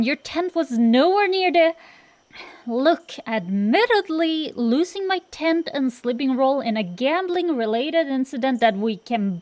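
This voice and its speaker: Casting: female performer as a young-sounding fantasy dragon girl, high pitch, unhurried delivery, cocky tomboy scamp, defensive banter, ASMR roleplay. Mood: defensive, sheepish. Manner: blustering, bantering, hesitant excuses full of drawn-out pauses. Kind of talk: ASMR roleplay, one-sided comedic dialogue.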